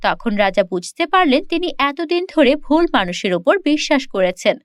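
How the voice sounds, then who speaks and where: High-voiced woman telling a children's story. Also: very animated, moderate pace, dry recording, studio quality. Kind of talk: scripted children's story narration.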